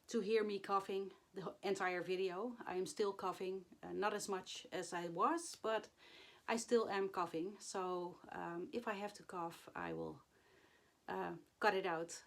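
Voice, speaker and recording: A woman, hoarse and still getting over the flu, chatting to camera about her stitching projects, halting often with fillers. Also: lowish voice. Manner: halting, many fillers and pauses. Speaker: flosstube vlogger. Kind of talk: casual chat to camera.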